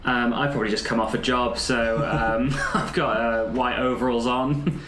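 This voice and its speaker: A man's voice: mid-range voice, flat delivery, normal speed, a bit bright. A man playing a tabletop roleplay game, casually improvising a description of his character. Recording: echoey room, faint background noise.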